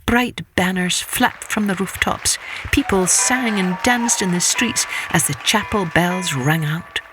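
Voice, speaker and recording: A woman's voice: lowish voice, measured pace, music in the background. A woman storyteller reading a scripted children's folktale expressively.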